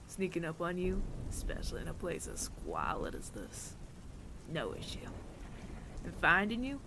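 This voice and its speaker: Casting: male actor as world-weary sci-fi bounty hunter for audio roleplay, menacing and taunting, gravelly near-whisper, widely swinging intonation. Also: fairly high pitch, wildly swooping pitch, background noise, full character voice. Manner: slow, deliberate, taunting, with long dramatic pauses. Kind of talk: ASMR audio roleplay, addressing the listener.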